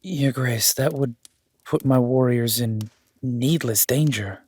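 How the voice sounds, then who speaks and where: A man playing a cautious warrior duke in a fantasy audio drama, respectful, measured and deferential. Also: mid-range voice, a little mellow, husky. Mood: strained deference.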